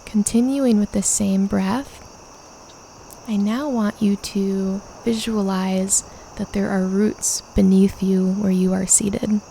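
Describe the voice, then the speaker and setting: A woman leading a guided meditation, calmly and gently instructing with unhurried pauses. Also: mid-range voice, vocal fry, slight background noise.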